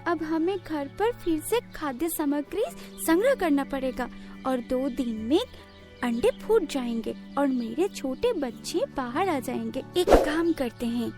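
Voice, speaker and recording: Very high-pitched little mother bird. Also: expressive, unhurried, over music. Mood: busy, content. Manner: earnest, plaintive, theatrical. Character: small, anxious, devoted mother bird. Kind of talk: cartoon moral story voice acting.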